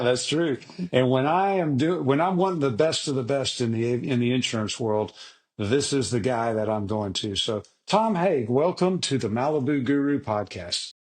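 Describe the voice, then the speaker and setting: A man's voice: medium pitch, a little mellow. A middle-aged man hosting an aviation podcast, folksy and enthusiastic, with many restarts. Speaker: podcast host. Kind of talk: casual interview chat.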